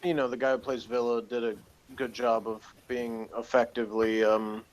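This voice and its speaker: A man with a medium-pitched voice talks casually as a review co-host. He thinks aloud, circling back as he goes, and adds dry little jokes. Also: little pitch movement, normal speed, poor audio. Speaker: co-host giving review opinions.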